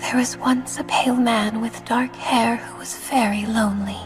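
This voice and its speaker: A woman playing a gentle, solemn lamb spirit of death in a video game, softly and breathily, with calm measured delivery. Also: fairly high pitch, lightly acted. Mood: solemn storytelling. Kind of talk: dramatic game character dialogue. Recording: music behind the voice.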